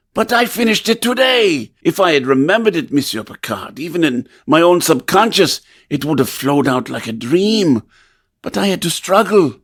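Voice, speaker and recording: An actor playing an obsessive, grandiose composer in theatrical old-time radio style, with intense, halting delivery. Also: male voice, a little high, fairly bright tone, husky, exaggerated voice. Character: tormented, obsessive male composer, feverish and grandiose. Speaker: lead actor in radio play.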